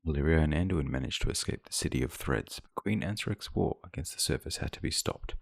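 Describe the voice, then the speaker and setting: A man narrating game quest logs in a relaxed, scripted storytelling style. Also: rumbling low, quick pace, vocal fry, dry recording, studio quality. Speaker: gaming video creator narrating.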